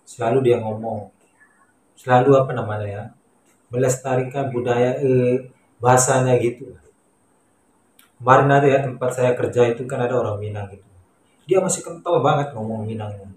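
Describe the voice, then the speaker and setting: Male vlogger, Indonesian accent, mid-pitched voice, emphatic casual chat, echoey room with faint noise. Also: normal speed, long pauses, a little mellow. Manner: emphatic, repetitive, colloquial. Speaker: vlogger, Indonesian migrant worker in Malaysia.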